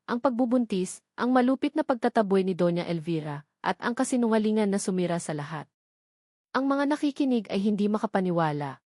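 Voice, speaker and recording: A woman's voice: mid-range voice, darkish tone, dry recording, studio quality. A woman narrator reading a scripted dramatic story.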